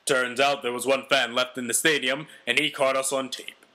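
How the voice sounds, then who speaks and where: A man's voice: mid-range voice, little pitch movement, normal speed, sharp tone, lightly acted. A man voicing a brash, self-important ex-convict quarterback telling his own story with melodramatic, boastful delivery. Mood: indignant, confiding.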